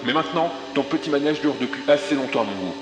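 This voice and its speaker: A man's voice: medium pitch, lively intonation, quick pace, close to an everyday voice. A man playing a self-assured, controlling leader of a radical group in an audio drama, speaking with command. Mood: menacing pressure. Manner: commanding, speechifying. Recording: roomy echo, loud music underneath.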